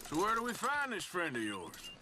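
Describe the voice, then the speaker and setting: An actor playing a tough, wry Western outlaw with a gruff, folksy American drawl in a video game cutscene. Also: male voice, high voice, wildly swooping pitch, moderate pace, background noise. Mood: sardonic. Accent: American, rural Western drawl. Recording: music behind the voice.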